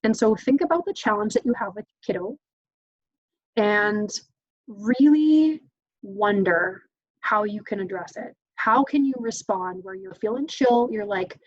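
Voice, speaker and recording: A woman's voice: medium pitch, lively intonation, unhurried, no echo. Woman, casual workshop presenter, lots of "um"s, dry and slightly muffled sound. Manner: chatty, acts out parent-child exchanges, frequent "um"s. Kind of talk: informal teaching talk.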